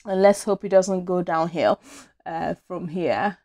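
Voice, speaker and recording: A woman's voice: on the low side, measured pace, mellow. Chatty young woman from Nigeria. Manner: chatty and open, with fillers and self-corrections. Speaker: vlogger. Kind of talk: casual personal storytelling to camera. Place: small indoor room against a plain wall. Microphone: small clip-on lapel mic.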